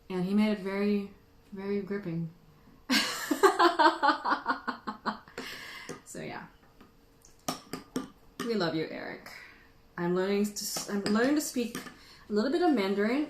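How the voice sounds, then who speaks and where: A woman's voice: medium pitch, slight background noise. The woman you'd find hosting a cozy tasting livestream from a roomy, echoey space, a native Tagalog speaker ribbing her regulars playfully. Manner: playful, teasing, jokey. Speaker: host of a tea tasting livestream. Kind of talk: casual livestream chat with viewers.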